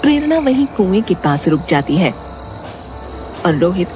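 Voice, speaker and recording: A woman narrating a scripted story in an expressive storytelling manner. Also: on the low side, a little slow, music in the background.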